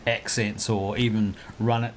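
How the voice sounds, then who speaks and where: A man's voice: lowish voice, flat delivery, measured pace, slight background noise. A man hosting an interview, an actor himself, chatting in an effusive and enthusiastic way. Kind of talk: casual interview chat.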